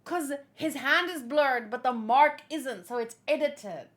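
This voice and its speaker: Girl w kinda high voice doing a manga read along, animated fan chatter, casual host style. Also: unhurried. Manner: animated fan chatter, reading dialogue aloud.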